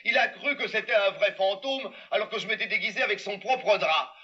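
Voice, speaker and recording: A cheeky, cheerful puppet hero, voiced by a man who chats with the children in the audience. His voice is high and bright with big lively swings in pitch. It is recorded from far off and sounds dull. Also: fairly quick. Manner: chatty, confides in the children.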